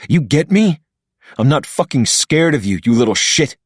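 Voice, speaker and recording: A man's voice: mid-range voice, sing-song, normal speed, husky, no room sound, clean studio sound, pitched above the performer's own voice. A man voicing a smug, pompous young man who sneers and blusters at his rival. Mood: sneering. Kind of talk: audiobook character voice.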